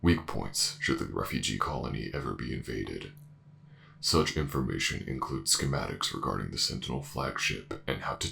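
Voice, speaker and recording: Extremely deep, gravelly AI. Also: male voice, bright, forceful tone, slight background noise, full character voice. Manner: formal, measured, emotionless delivery. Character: ancient warship AI director, cold, logical, ruthless. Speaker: voice actor in an audio roleplay. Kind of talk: scripted sci-fi audio drama acting.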